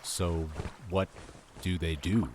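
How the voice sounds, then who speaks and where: A man playing an earnest, hesitant adventurer in a fantasy audio drama, speaking in a halting, polite way. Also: low-pitched, steady tone, background noise. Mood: hesitant, distracted. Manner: halting, apologetic. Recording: clear water ambience behind voice.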